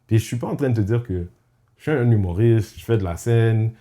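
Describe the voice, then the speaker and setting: A young man and comedian, talking as a podcast host in a casual chat, reflective and halting, with many restarts and English phrases mixed in. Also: fairly low pitch, dark tone.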